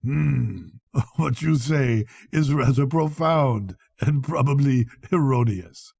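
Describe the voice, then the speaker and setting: A slow, mumbling doctor, shabby and odd, as a male audiobook narrator puts him on, with a very dark, husky voice. He keeps a calm, matter-of-fact tone and lets his words drop low and slow, with a dry, close sound. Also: no room sound, exaggerated voice. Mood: amused, warning.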